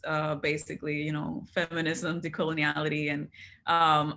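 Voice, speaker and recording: A woman in her thirties from the Dominican Republic, speaking on an artists' panel in a thoughtful, hesitant way with many pauses and fillers. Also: low-pitched, fairly bright tone, slight background noise. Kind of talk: casual panel talk.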